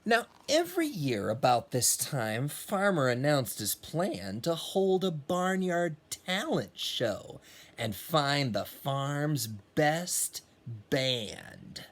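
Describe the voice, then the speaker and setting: A man's voice: on the high side, big pitch swings, slow pace, a bit bright. A man who teaches music, reading a children's storybook aloud expressively with casual, encouraging asides.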